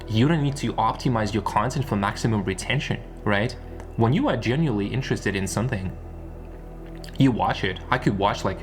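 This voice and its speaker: A man giving an unscripted, rambling monologue as an online content creator, repeating phrases and posing rhetorical questions. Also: lowish voice. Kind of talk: unscripted one-take monologue.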